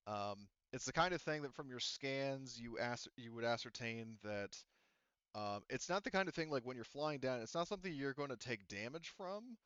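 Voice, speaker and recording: Smooth-voiced man running a tabletop game. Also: mid-range voice, a bit bright, no echo, highs cut off. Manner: rambling, filler-heavy explaining. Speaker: game master. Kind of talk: casual tabletop game narration.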